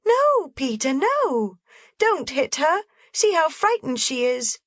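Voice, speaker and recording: A woman voicing an excited little mountain girl, eager and exclaiming in a high voice. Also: takes it slowly, no echo, highs cut off, full character voice. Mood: pleading.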